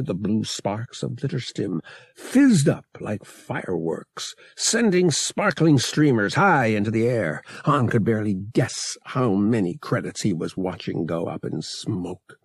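A male audiobook narrator with a gravelly, husky voice tells an adventure story in a dramatic style. His pitch moves around a lot, and the recording is close and dry. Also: darkish tone, dry recording. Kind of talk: scripted dramatic fiction narration.

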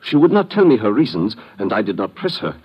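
An actor playing a polished, over-eager official under suspicion in an old-time radio drama, formal and courteous with protesting turns. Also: male voice, lowish voice, big pitch swings, fast talker, slightly rough. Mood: courteous, defensive. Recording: muffled vintage radio recording.

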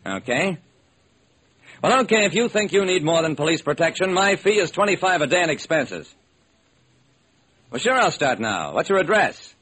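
An actor playing a brisk, determined hard-boiled male private detective, narrating and acting in a classic radio crime drama. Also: a little high, big pitch swings, fairly bright tone, heavily acted. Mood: urgent, insistent. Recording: old, slightly rough radio recording.

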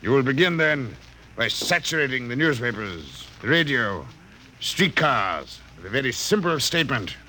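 A man's voice: mid-range voice, big pitch swings, normal speed, bright, forceful tone. A man acting a suave, secretive, sinister client in a classic radio drama, speaking formally and precisely. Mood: precise, businesslike. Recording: noisy vintage radio recording.

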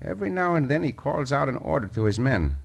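An actor playing a bold, gallant Mexican gunfighter with a Mexican accent and courtly, commanding phrasing in an old radio western. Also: male voice, mid-range voice, sing-song, fast talker, vocal fry. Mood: hushed, determined. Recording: noisy vintage radio recording.

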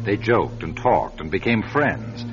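A man's voice: on the low side, expressive. Male radio-drama narrator over music. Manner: measured, storytelling. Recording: lo-fi vintage radio recording.

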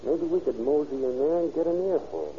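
Actor as a mellow, dark-voiced keen policeman. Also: fairly high pitch, lively intonation, fairly quick, lightly acted. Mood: wry, cautious. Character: keen, daring policeman disguised as a tramp. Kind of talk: old-time radio crime drama acting. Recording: dull, hissy old radio recording.

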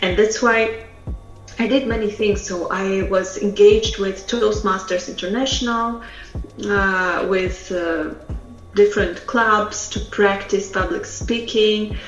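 A woman from Russia speaks, unhurried and reflective, pausing often as she tells her story. Her voice sits in a roomy, echoey space, on a dull, lo-fi recording. Faint music plays underneath. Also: medium pitch, steady tone. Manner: slow and thoughtful, with many hesitations and soft laughs. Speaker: public speaking trainer. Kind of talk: interview guest telling her story.

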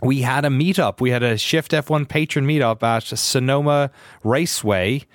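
An Irish man co-hosts a podcast in easy, joking chat, full of quick asides and laughs. His voice sits at a middling pitch and sounds close and dry, with no room around it. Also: dry recording. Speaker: podcast co-host. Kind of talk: casual chat.

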